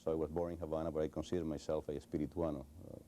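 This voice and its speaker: A middle-aged man speaks in English with a Cuban Spanish accent. His voice is very deep, dark and mellow, and it stays close to a flat, even pitch. He pauses often and restarts his sentences, and there is a faint hiss behind him. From Cuba. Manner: hesitant, many fillers and restarts. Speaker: interview guest. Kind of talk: recorded television interview.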